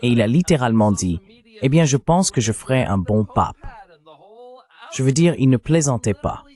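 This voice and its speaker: A man's voice: mid-range voice, expressive, normal speed, dark tone. A man's AI dubbing voice giving a psychologist's answers in an interview, as a translated voice-over.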